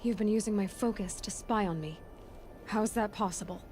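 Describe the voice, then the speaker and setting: Actress as young female warrior, defiant, intense, insistent, mid-pitched, video game dialogue, clear background noise. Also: normal speed, darkish tone, close to an everyday voice. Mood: defiant, demanding. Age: young adult.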